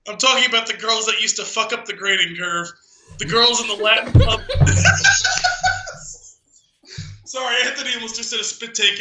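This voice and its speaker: A man's voice: high-pitched, bright, forceful tone, reverberant, poor audio. A man co-hosting a podcast with an amused manner, in casual talk and reading aloud. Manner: amused, often breaking into laughter while reading. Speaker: podcast co-host. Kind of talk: casual chat with dramatic poem reading.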